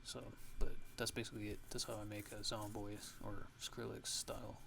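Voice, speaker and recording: A man talks through a music production tutorial in a fairly low, gravelly voice. His delivery is halting and laid-back, like a casual ASMR walkthrough, and his intonation stays on the flat side. Clear background noise sits behind him on a home recording.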